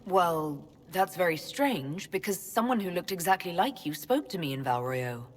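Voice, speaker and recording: An actress playing an earnest, level-headed young female elven mage, calm and direct, in a video game. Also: lowish voice, moderate pace, long reverb tail. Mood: questioning, incredulous.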